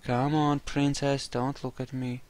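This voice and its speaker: A man doing roleplay commentary over a game, musing aloud and explaining his character's reasoning in a deliberate way. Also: medium pitch, little pitch movement, a little mellow. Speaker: gamer narrating a roleplay playthrough.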